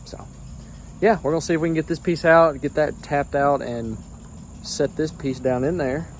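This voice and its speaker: A man's voice: fairly high pitch, fairly even intonation, moderate pace. A man chatting casually through a DIY truck job outdoors, talking in a rambling, folksy way and restarting his phrases as he works, recorded on a handheld camera. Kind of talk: casual how-to vlog. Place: outdoors beside a truck, crickets around. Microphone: handheld camera, no tripod. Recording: noisy outdoor recording with crickets.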